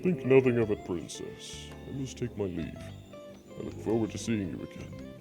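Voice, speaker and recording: A man voicing a courteous, proud, centuries-old dragon in a fantasy audio drama, speaking formally and in a measured way. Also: fairly low pitch, animated. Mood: calm, formal. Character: ancient male dragon, four hundred years old, courteous, proud. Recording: music playing behind.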